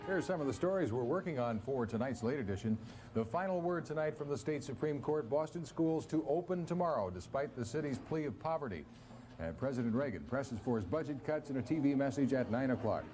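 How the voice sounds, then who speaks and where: A man's voice: mid-range voice, expressive, brisk, dark tone. A man reading a scripted TV news promo as the anchor, in a broadcast studio. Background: music.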